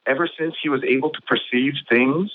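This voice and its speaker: A man calling in to a show, debating the host in a halting, repetitive, persistent way. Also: mid-range voice. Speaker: caller to a call-in show. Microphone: phone call. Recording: tinny, narrow phone line.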